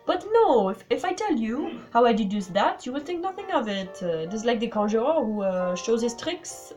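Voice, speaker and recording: A woman's voice: a little high, big pitch swings, normal speed, a little mellow, highs cut off, soft background music, pitched above the performer's own voice. A woman voicing a fussy, smug older male Belgian detective, polite and dropping French words with some fillers.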